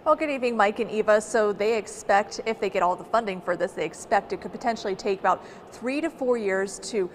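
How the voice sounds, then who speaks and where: A young woman delivers a live television news report. Her voice sits at a middle pitch and her delivery halts a little. Faint location noise surrounds her. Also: a little fast, hardly any pauses. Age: young adult. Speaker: news correspondent. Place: large stone rotunda. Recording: noisy live location sound.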